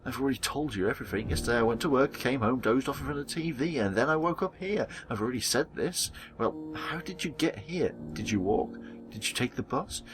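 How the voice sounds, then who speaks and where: A man's voice: medium pitch, expressive, fairly quick, music in the background, low-quality recording. A man hosting a gaming video, reading visual novel dialogue aloud dramatically and acting out the characters, with casual commentary.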